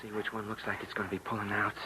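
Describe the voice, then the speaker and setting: An actor playing a tough, bossy, hot-tempered teenage delinquent in an old-time radio drama, talking in impatient slang. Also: male voice, on the low side, completely flat delivery, racing, noisy background, lightly acted. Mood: urgent. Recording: old radio drama over loud music.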